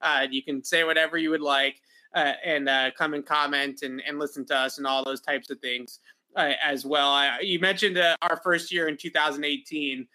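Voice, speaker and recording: A man's voice: on the high side, steady tone, moderate pace, bright, forceful tone, rough recording. A young man hosting a podcast, rambling in casual chat with a nasal voice and many fillers. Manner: rambling, filler-heavy, repeats words. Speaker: podcast host.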